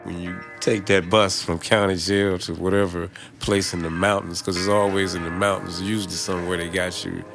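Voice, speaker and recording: A man telling a personal story in an intense, colloquial, deliberate way. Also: low-pitched, little pitch movement. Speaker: former prisoner turned poetry professor. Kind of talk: vivid, deliberate storytelling from experience. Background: guitar music behind the voice.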